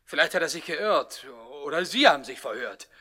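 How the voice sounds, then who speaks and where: An actor playing an impatient, exasperated police commissioner with a brisk, testy manner in a 1960s radio crime comedy. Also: male voice, a little high, animated, bright, forceful tone, husky, full character voice.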